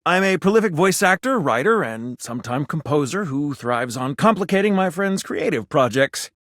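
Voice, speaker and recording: Game casting: flowery, self-important man of letters, higher-pitched, lively intonation, close dry sound. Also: moderate pace, no room sound. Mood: haughty, witty. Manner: pompous, ornate diction. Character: pretentious, flowery, intellectual male writer and dandy. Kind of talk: video game character dialogue.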